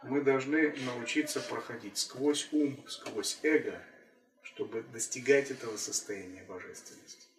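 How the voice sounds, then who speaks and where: A man speaking as a spiritual teacher in a calm, measured way, returning again and again to the same phrases. His voice sits at an ordinary pitch. The recording is roomy and a little distant from the mic. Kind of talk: spiritual talk.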